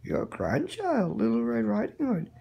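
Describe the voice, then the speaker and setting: A man's voice: very high voice, wildly swooping pitch, a little slow, vocal fry, slightly rough, muffled recording, pitched above the performer's own voice, full character voice. A man voicing an innocent, cheerful little girl in a storybook, chatty and trusting. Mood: eager.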